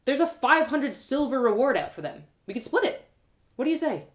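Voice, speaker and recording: A woman's voice: high-pitched, big pitch swings, brisk, pitched above the performer's own voice. A woman voicing an eager, plucky young adventurer girl, chatty and earnest, in a told fantasy story. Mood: eager, excited.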